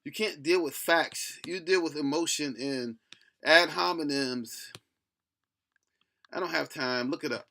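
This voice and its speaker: Middle-aged man, lisp, gravelly fry, sharp forceful tone, blunt rambling rant, salty language. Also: fairly high pitch, measured pace, long pauses. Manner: blunt, cursing, digressive, often breaking off mid-thought. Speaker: solo video blogger. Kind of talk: rambling opinion rant to camera.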